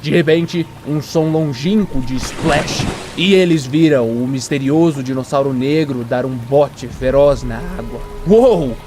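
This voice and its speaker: A man's voice: mid-range voice, fairly even intonation, a little slow, hardly any pauses. Brazilian man narrating a dramatic story.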